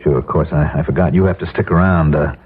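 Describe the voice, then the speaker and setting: A man's voice: extremely low pitch, steady tone, quick pace, slightly rough. An actor playing a middle-aged office man who has lost his job, wry, weary and self-doubting, in a 1970s radio drama. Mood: putting on calm. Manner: wry, resigned, hesitant. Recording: tinny, noisy old radio broadcast recording.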